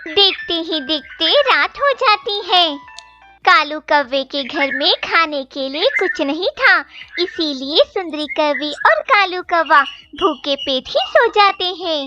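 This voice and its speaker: A woman's voice: extremely high pitch, expressive, takes it slowly, bright, forceful tone, lo-fi sound, soft background music. A woman reading scripted narration for a children's cartoon story.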